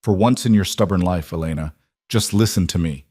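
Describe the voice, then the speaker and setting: A cold, intense mafia boss acted by a male audiobook narrator. He speaks in a low register with clipped, demanding force. Wounded fury sits under the control, and the sound is close and dry. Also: fairly quick, darkish tone, breathy edge, no echo. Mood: urgent command. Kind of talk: dramatic audiobook dialogue.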